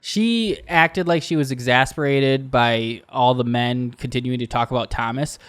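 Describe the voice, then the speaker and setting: A man's voice: mid-range voice, normal speed, fairly bright tone, smooth. A man co-hosting a comedic TV recap podcast, commenting casually with mock-dramatic wryness. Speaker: podcast co-host.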